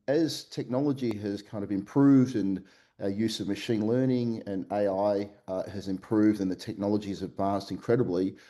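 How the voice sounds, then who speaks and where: A man with an Australian accent, a company CEO answering podcast interview questions remotely, halting often with fillers and restarts. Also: on the low side, dark tone. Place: remote call from Melbourne.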